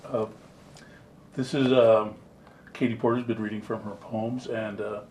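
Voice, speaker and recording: A man with a fairly low voice co-hosts a program informally and a little hesitantly. Some background noise and ambience sit behind his speech. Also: little pitch movement, measured pace, a little mellow, low-quality recording. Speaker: co-host.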